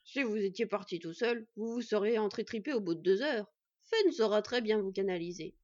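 A woman's voice: medium pitch, a little fast, darkish tone, muffled recording, lightly acted. A woman voicing a jolly, boisterous male smith who talks in a hearty, teasing way. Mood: jovial.

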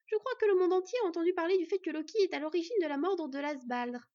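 A woman doing a high, eager giantess. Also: fast, mellow, highs cut off. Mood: earnest. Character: excitable giantess, wife of a smith.

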